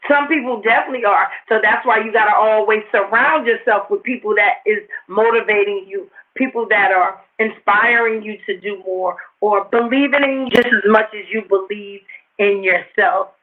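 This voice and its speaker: The audio is narrow and phone-like. A woman with a slightly hoarse, raspy voice of medium pitch hosts a casual motivational talk. She addresses her viewers directly and emphatically, often repeating key phrases. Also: moderate pace. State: a little hoarse. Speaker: host of a motivational talk show. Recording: tinny, phone-like narrow-band livestream audio.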